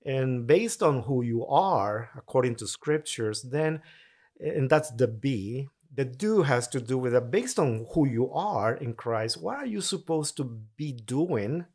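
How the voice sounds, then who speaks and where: A man's voice: mid-range voice, animated, unhurried, darkish tone. A man from Honduras, explaining his ideas earnestly in a podcast interview. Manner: earnest, explanatory, occasional restarts. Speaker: mission agency president.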